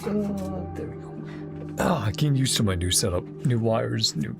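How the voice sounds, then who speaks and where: A man's voice: mid-range voice, big pitch swings, moderate pace, gravelly. A man giving casual reaction commentary on a game story, in trailing, fragmented remarks. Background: game music.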